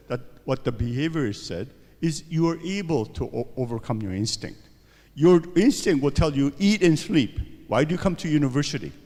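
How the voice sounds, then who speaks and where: A man's voice: mid-range voice, a little mellow. Lecturing man in a reverberant hall. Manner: rhetorical questions with frequent "okay?" and "right?". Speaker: seminar speaker. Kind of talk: university lecture to students.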